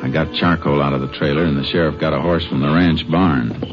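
An actor playing a calm, sharp-eyed Texas Ranger investigator, clipped and probing, in 1950s western radio drama style. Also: male voice, very deep voice, brisk, music in the background. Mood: methodical, grave. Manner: clipped, probing, matter-of-fact. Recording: dull, noisy vintage radio recording.

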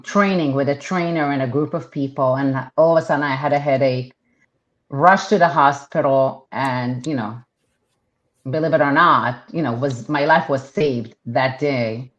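A woman's voice: low-pitched, normal speed. A middle-aged woman from Israel whose first language is Hebrew, chatting as a guest in an interview, with lots of fillers and restarts. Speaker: realtor guest. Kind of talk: casual interview talk. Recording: dull lo-fi sound.

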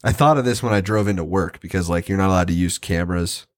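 A man's voice: sits low, fast talker, dry recording. A man co-hosting a podcast in casual chat, easygoing and wry. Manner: easygoing, wry, chuckles often. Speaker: podcast co-host.

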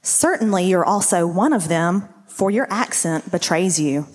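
An accusing servant girl in a scripture drama, read by a woman. Her voice sits a little low and carries long reverb. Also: animated, a little slow. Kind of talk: dramatized group scripture reading.

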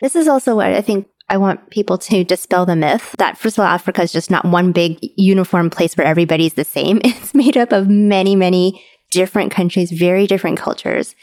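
Host, woman from North America, slightly low voice, encouraging and curious interviewer. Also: brisk. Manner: warmly encouraging, inquisitive. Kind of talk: conversational interview.

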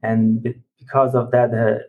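Earnest halting man from China. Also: mid-range voice, flat delivery, creaky, narrow, tinny audio. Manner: earnest, halting, searching for words. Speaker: ministry executive guest. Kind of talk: personal testimony in interview.

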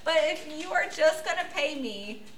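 A woman from the United States, a podcast guest, talking bluntly and loudly, laughing as she goes. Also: sits high, expressive, moderate pace, a little hiss or hum. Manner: loud, outspoken, blunt, laughing. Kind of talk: casual podcast chat. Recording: echoey room.

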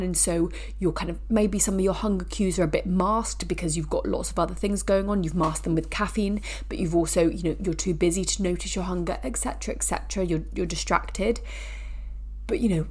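A woman's voice: fairly low pitch, darkish tone. Woman with a blocked, nasal voice. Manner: chatty, frequent restarts and asides. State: blocked sinuses, nasal from a cold. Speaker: podcast host. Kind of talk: casual educational podcast talk.

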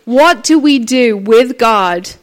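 A talkative woman with a naturally loud voice preaches at a conference, telling stories and acting out quotes. Her voice sits a bit high for a woman. She talks freely and with energy. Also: slow pace. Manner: chatty, animated storytelling with acted quotes. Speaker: conference speaker. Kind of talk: conference sermon.

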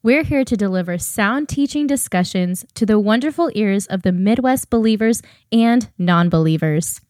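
A woman's voice: mid-range voice, moderate pace, darkish tone, dry recording, studio quality. A woman co-hosting a podcast, giving introductions and prompts to the other hosts. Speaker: podcast co-host.

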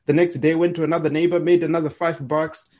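A man's voice: a little high, little pitch movement, fast talker, a little mellow. A man, a podcast guest telling his story in an upbeat, chuckling way, often restarting words. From Zimbabwe. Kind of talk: casual storytelling interview.

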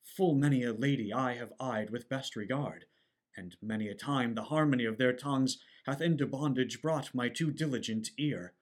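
An ardent young prince, acted by a man wooing in Shakespearean stage verse. His voice sits at a middle pitch, and his delivery is courtly, ornate and full of earnest devotion. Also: moderate pace, close to an everyday voice. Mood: adoring. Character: gallant, ardent young shipwrecked prince in love. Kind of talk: theatrical Shakespearean stage acting.

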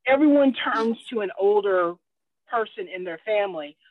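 A woman's voice: medium pitch, lively intonation, moderate pace. A woman co-hosting an interview who speaks in a rambling, encouraging way. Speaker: co-host interviewer. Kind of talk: interview chat. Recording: thin phone-like line.

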